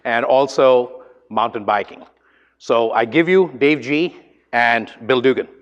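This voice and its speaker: A man's voice: medium pitch, measured pace, long reverb tail, muffled recording, noisy background. A man who is a professor, hosting an event and introducing the speakers off the cuff and with enthusiasm. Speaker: host and professor of entrepreneurship. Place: large lecture hall, amplified.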